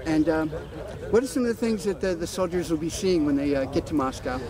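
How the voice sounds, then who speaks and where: A man's voice: a little high, animated, brisk. A man interviewing a soldier outdoors, asking questions in a polite, deferential way. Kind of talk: field interview. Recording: crowd chatter in background.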